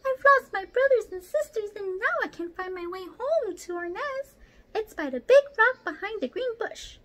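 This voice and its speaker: A woman voicing a timid, pleading little baby mouse with a squeaky voice in a children's storybook. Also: lively intonation, normal speed, fairly bright tone. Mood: pleading, frightened.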